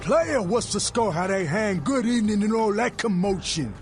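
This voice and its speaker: Swaggering, high-voiced street hustler. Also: male voice, expressive, normal speed, music in the background. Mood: showy, boastful. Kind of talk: video game character acting.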